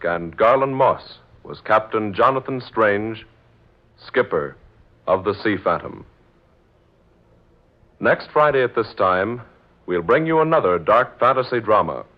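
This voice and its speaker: A man announcing a radio drama in a scripted, formal and dramatic 1940s style. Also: on the low side. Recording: muffled old radio broadcast.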